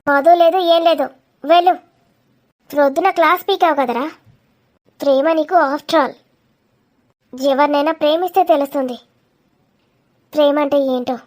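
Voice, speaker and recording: A woman's voice: high voice, normal speed, long pauses, a little mellow, natural voice. A woman voicing a feisty, sarcastic young woman in a confrontational cartoon comedy. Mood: angry. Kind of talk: dramatic cartoon comedy dialogue.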